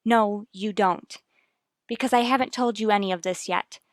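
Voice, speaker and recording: A woman's voice: medium pitch, normal speed, no room sound, clean studio sound, lightly acted. An actress playing an earnest, anxious but determined wife and mother in a 1950s radio soap, formal and firm. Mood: tense, earnest.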